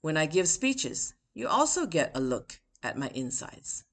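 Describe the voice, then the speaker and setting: A woman with a notably low-pitched voice reads her own personal essay aloud. She takes it at a measured, deliberate pace, pausing for emphasis between phrases. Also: sing-song. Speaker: author and podcast host.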